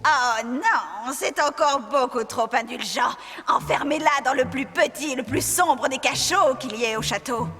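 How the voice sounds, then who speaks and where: Haughty, shrill cartoon false princess. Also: female voice, measured pace, few pauses, sharp tone. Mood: haughty, cruel. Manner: imperious, theatrical. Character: haughty, cruel false princess, an impostor young queen. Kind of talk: dubbed cartoon fairy-tale acting. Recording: long reverb, music behind.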